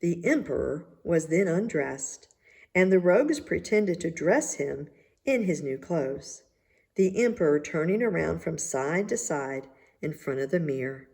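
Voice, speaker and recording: A woman reading a children's tale aloud as narrator, in a measured, deliberate way. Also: deep voice, expressive, gravelly, darkish tone. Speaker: story reader. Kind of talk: scripted storybook narration.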